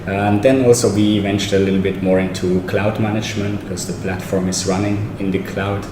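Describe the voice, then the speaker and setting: A man's voice: lowish voice, level tone, fairly quick. A man from Switzerland speaking as a panelist at a round-table discussion, talking casually and keeping it brief with um and uh fillers. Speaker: panelist, company founder. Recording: echoey room, mic at a distance, noise.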